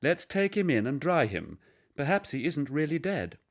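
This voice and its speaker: A kindly English mother as a male narrator puts her on in a storybook. Her voice is lifted in pitch, gentle and a little anxious. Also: very animated, moderate pace. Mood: gentle concern.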